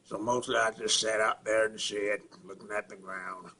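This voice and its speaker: A man acting a simple-minded rural Southern man in a halting, plainspoken dramatic film monologue, with a throaty rasp and grunts. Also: fairly low pitch, steady tone, sharp tone, slight background noise. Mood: flat, matter-of-fact. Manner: halting, repetitive, plainspoken, folksy.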